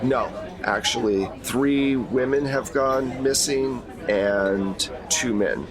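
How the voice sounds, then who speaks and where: A man's voice: mid-range voice, slow pace, crowd noise, rough recording. A man acting as game master, giving a conversational, explanatory briefing in a tabletop roleplay.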